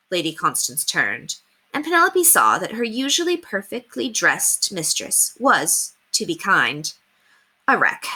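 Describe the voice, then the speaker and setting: A woman reads a children's novel aloud with dramatic, deliberate phrasing. Her tone is bright and her pitch moves in a very animated way. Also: breathy edge. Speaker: storybook reader. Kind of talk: expressive scripted narration of a children's novel.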